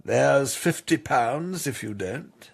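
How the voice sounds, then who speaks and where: A man playing an older, suave, scheming aristocrat in a radio drama, husky, urbane and insinuating. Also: mid-range voice, expressive, slow pace, heavily acted. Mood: insinuating, amused.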